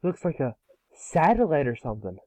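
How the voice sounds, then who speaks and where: A man playing a sulky, sarcastic and excitable boy in a cartoon script read. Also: on the high side, brisk, a little hiss or hum, low-quality recording. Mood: curious. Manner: sarcastic, grumbling.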